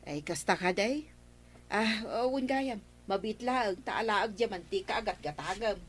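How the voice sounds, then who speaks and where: A woman's voice: mid-range voice, animated, normal speed, slight background noise, close to an everyday voice. A woman playing an obliging, questioning village neighbor in a comedy radio drama, with an Ilocano accent.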